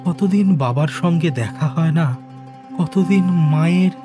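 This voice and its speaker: High-pitched, anguished male scientist played by an actor. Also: lightly acted. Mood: wistful, regretful. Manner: slow, emotional, halting with repeated words. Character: grief-stricken adult male scientist, devoted son. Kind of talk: dramatized audio story acting. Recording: studio voice under loud music.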